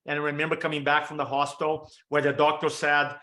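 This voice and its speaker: Energetic Brazilian-accented man in his fifties. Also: a little high. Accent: Brazilian Portuguese. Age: 50s. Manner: energetic, stacking quick lists of examples. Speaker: motivational speaker and storytelling coach. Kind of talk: training talk on a webinar. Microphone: video call.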